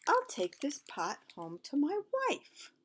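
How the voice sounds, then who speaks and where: A woman's voice: high-pitched, wildly swooping pitch, slow, fairly bright tone, voice pushed up, full character voice. A woman voicing a showy, exclaiming rich man in a storybook. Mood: delighted. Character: pompous rich man, grown.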